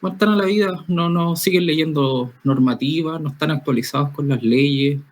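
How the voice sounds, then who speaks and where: A man's voice: on the high side, normal speed. A young man from Spain, a guest talking candidly and conversationally about his work as a safety professional. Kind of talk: casual interview talk.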